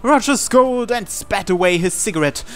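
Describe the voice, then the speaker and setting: Male let's player, slightly high voice, dramatic visual novel narration with offhand asides, music underneath. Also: lively intonation, normal speed, a bit bright. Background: game music.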